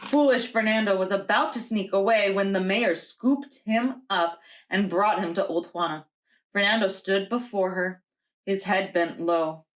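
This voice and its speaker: A woman preschool teacher reading a children's storybook aloud in a deliberate, expressive storytelling way. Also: mid-range voice.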